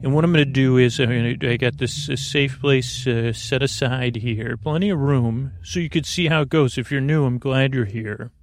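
A man with a creaky voice hosting a sleep podcast, lulling and soothing as he meanders haltingly through a rambling bedtime monologue. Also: medium pitch, no echo. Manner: meandering, halting, repeats and drifts off topic. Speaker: podcast host.

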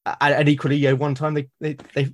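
A British man speaking as a podcast guest in casual conversation, haltingly and often repeating words as he thinks aloud. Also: on the high side, level tone. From Britain. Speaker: podcast guest, solo roleplaying gamer.

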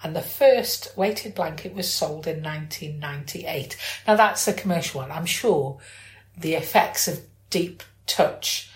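A woman's voice: sits low, a little slow. A woman talking in a casual, chatty and informal way, often searching for words. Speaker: podcast host. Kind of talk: casual chat. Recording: echoey room.

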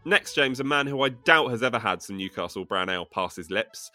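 A man's voice: medium pitch, animated, a little fast, pushed and bright, dry recording, faint music behind. A man co-hosting a podcast, upbeat and complimentary in casual chat. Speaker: podcast co-host.

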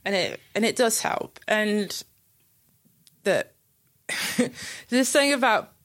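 A woman's voice: medium pitch, animated, slow, fairly bright tone. A young woman chatting casually as an interviewee, giggly and full of fillers. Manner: chatty, giggly, lots of likes. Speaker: club night co-founder, interviewee. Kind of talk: casual chat.

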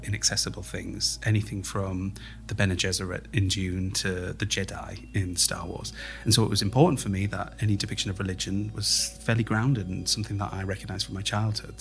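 A man's voice: sits low, creaky, fairly bright tone. A man speaking as an interviewed playwright, explaining his thinking in a reflective, conversational way.

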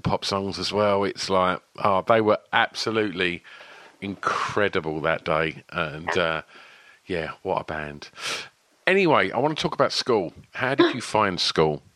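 A man's voice: deep voice, expressive, measured pace, pushed and bright, dry recording. A man hosting a casual interview, asking questions hesitantly and stumbling over his words. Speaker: interview host.

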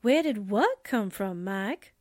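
A woman's voice: medium pitch, animated, takes it slowly, a little mellow, dry recording, studio quality. A woman playing a breezy, streetwise sidekick in a radio drama, with a wry, slangy way of talking.

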